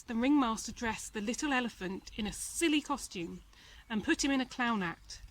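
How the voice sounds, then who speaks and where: A woman narrates a children's story in a fairly high voice. Her reading is expressive, in the manner of a storybook read aloud to children. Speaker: volunteer storybook reader.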